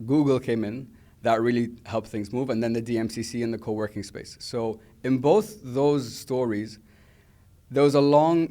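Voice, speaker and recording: A man's voice: mid-range voice, mellow. Young male host, earnest, long-winded questions, casual interview tone.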